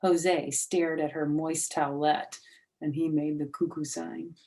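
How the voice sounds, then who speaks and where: Female children's storyteller, animated read-aloud with chatty asides. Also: low voice, unhurried, creaky. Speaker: storyteller reading a children's book.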